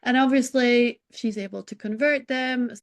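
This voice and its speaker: A middle-aged woman with a Scottish accent, a podcast guest talking in a lively, chatty way with lots of "you know". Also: fairly high pitch, moderate pace. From Scotland.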